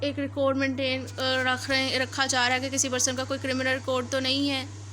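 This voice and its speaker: A woman's voice: high-pitched, monotone, brisk, sharp tone. A Pakistani woman giving an explanatory law lecture, restating each point in a didactic way. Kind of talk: explanatory lecture, reading clauses then explaining. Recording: faint background noise.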